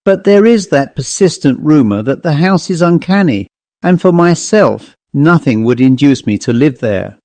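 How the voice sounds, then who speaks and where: A man's voice: on the high side, lively intonation, moderate pace, a little mellow, no echo. A man voicing a cautious, disapproving genteel woman in a storybook reading, prim and conversational. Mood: uneasy.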